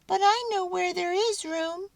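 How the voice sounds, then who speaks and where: Woman voicing a squeaky, high-pitched little storybook mouse. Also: a little slow, vocal fry, no echo, heavily acted. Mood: tender. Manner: exclamatory, expressive, childlike. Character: tiny excitable squeaky male mouse, tender-hearted.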